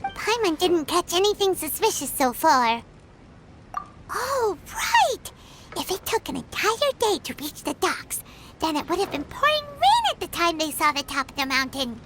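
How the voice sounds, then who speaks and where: A woman's voice: extremely high pitch, sing-song, measured pace, noisy background, exaggerated voice. A woman voicing a tiny, chirpy and nosy female fairy companion in a video game, who talks about herself in the third person. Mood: curious.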